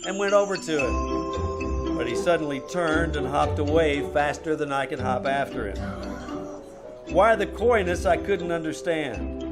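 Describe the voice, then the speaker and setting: A man playing a wry, wisecracking private detective, narrating deadpan in live old-time radio style. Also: fairly high pitch, lightly acted. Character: wry, dry-witted male private detective narrating his case. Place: small-town theater stage, live performance.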